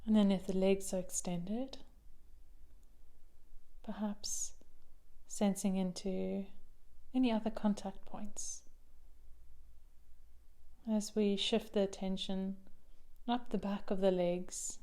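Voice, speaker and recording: A woman leading a guided body scan meditation as a mindfulness teacher, soothing and unhurried, with long pauses and soft phrase endings. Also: mid-range voice, steady tone.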